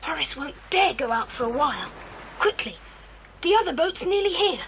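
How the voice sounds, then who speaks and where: A brisk, commanding young boy in a children's adventure drama. The voice is high and lively, earnest in giving orders, on a noisy old cassette. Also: full character voice. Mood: urgent, commanding. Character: Jack, bold decisive boy leading the children. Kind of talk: children's audiobook drama acting. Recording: hissy, rumbling 1980s cassette transfer.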